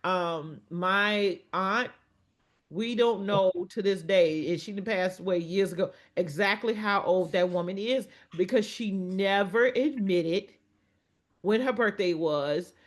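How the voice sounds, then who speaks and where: A woman in her fifties co-hosting a casual talk show, lively and quick to laugh, with folksy phrasing. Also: medium pitch. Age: 50s. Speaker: podcast co-host. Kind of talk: casual chat.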